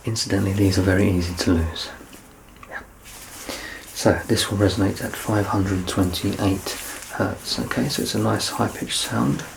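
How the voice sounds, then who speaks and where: A man in his thirties doing an ASMR medical exam roleplay as a doctor, soft-spoken, reassuring and explanatory. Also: fairly low pitch, normal speed, creaky, noisy background. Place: small curtained indoor room.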